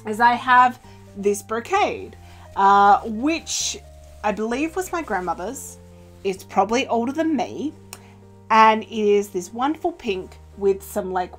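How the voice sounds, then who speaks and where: A young woman chatting casually in an upbeat show-and-tell way, filled with little fillers and playful pitch jumps, recorded in a small sewing room. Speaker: cosplay vlogger. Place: small indoor sewing room with fabric shelves. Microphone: camera in front at desk distance.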